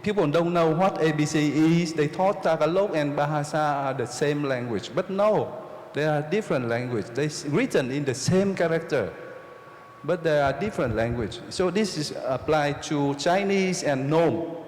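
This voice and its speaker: A Jesuit priest from Vietnam with a Vietnamese accent lectures a live audience, using simple, repeated phrases and often checking in with them. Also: male voice, on the high side, moderate pace, a little mellow. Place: oratory hall with a live audience. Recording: roomy hall reverb, faint noise.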